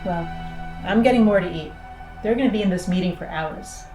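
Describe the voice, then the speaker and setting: A cynical female astronaut played by a woman in an audio drama, speaking in a lowish voice. She is blunt, sardonic and persuasive as she pushes an escape plan. The sound is roomy, with faint music behind her. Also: brisk, close to an everyday voice.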